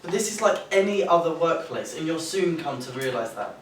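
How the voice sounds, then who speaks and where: An actor playing a gruff, condescending male boss who is curt and scolding. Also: a little high, animated, brisk, close to an everyday voice. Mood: stern. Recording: distant, echoey stage recording with hiss.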